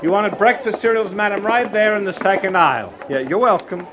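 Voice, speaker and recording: An actor playing a chatty, fussy, eager-to-please grocery store owner in a 1950s radio drama, effusive and easily distracted. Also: male voice, high voice, a little fast, natural voice. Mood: busy, effusive. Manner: effusive, distracted, fawning with customers. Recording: noisy old 1950s radio recording.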